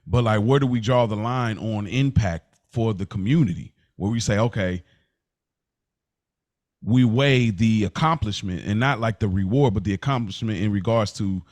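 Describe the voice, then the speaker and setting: Male American podcast host, slightly deep, deliberate delivery, reasoning aloud in casual discussion. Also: darkish tone, dry recording. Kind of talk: casual discussion, posing questions.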